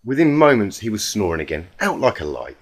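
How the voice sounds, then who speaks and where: A man with a husky voice reading a story aloud in a dramatic audiobook style. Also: fairly low pitch, very animated, a little fast. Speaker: fan fiction reader.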